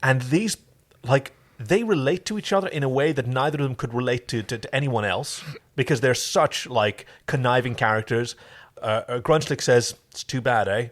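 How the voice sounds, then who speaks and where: A man with a medium-pitched voice hosts a conversational recap podcast. He talks informally, as if going over an episode with a friend. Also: normal speed. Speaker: podcast host. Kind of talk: casual TV episode recap chat.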